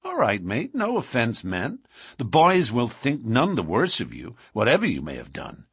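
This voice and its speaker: A man's voice: medium pitch, wildly swooping pitch, normal speed, fairly bright tone, husky, no echo, studio quality, exaggerated voice. A man reading an audiobook, voicing a friendly, chatty working man giving advice to a stranger. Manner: easygoing, advising.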